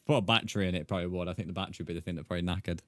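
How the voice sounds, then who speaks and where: A man's voice: sits low, fairly even intonation, rapid-fire, no room sound, studio quality. An English man chatting casually with viewers as a live streamer, in a rambling, matey way. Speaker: video game live streamer.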